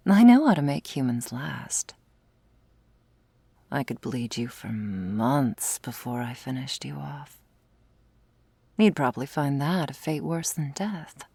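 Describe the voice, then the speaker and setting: A woman playing a sardonic, predatory vampire with a secret wistful side, in an intimate close-miked ASMR roleplay, wry and teasing, sometimes slipping into a breathy whisper. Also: extremely low pitch, sing-song, takes it slowly, long pauses, warm tone, no echo, full character voice. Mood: possessive, menacing. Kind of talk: intimate ASMR roleplay, scripted monologue to listener. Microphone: close, dry studio mic.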